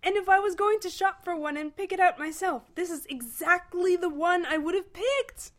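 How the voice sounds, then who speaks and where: A woman voicing an excitable, sentimental male alien in a story reading, gushing and halting with emotion. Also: high-pitched, voice pushed up. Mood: rapturous, tearful.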